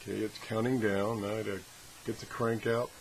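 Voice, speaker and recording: A man's voice: lowish voice, flat delivery, brisk. A man talking casually and off the cuff as he tests a product, thinking aloud with a self-deprecating, hands-on manner. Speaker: product reviewer. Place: home workshop, indoors. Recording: clearly noisy workshop recording.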